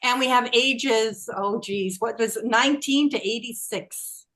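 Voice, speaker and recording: Woman host for a group call, fairly high voice, friendly chatter. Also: unhurried, fairly bright tone, poor audio. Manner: chatty, laughs often, restarts phrases. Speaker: tour organizer hosting a group call. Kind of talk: casual group video call.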